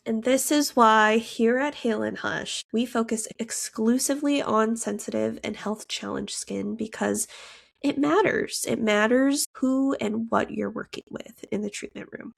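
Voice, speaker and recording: A woman hosting a podcast, stuffy and congested, explaining things in a steady, scripted way. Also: a little high, creaky. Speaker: podcast host.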